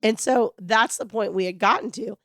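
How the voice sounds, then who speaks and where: A middle-aged woman hosting a podcast alone, talking in an earnest, reflective and hopeful way, her voice raspy from a cold. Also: a little high, moderate pace, a bit bright, no room sound, studio quality. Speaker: podcast host. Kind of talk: heartfelt solo podcast monologue.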